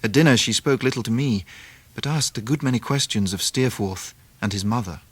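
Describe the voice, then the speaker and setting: An actor playing a polite, earnest young English gentleman who tells his own story in a radio drama. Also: mid-range voice, brisk, breathy edge, no room sound, lightly acted. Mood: reflective narrating. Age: young adult. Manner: courteous, earnest, well-spoken. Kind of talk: 1990s radio drama acting, with narration.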